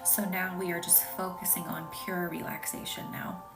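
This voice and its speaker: A woman from the American South leads a live-streamed reiki session, speaking softly and slipping into a breathy half-whisper. She answers viewers with hesitant, filler-laden chat. She sits back from the phone mic, with clear music playing behind her. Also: fairly low pitch, level tone, moderate pace, distant mic. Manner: soft, often whispery, hesitant with many fillers.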